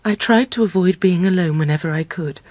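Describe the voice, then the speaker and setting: An actress playing a shaken but composed young gentlewoman in a radio drama, careful and well-mannered. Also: lowish voice, a little fast, no echo, lightly acted. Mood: fragile, composed.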